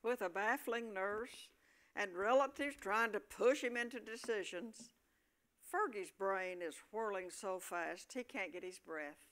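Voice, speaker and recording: A woman's voice: medium pitch, a little slow, a bit bright. A woman reading scripted comic narration for a staged play, in a mid-range voice.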